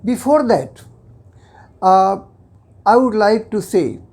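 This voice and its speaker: A native Hindi speaker teaching English in a slow, deliberate way, explaining phrase by phrase and at times switching into Hindi. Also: medium pitch, animated, a little mellow. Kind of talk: explanatory lesson.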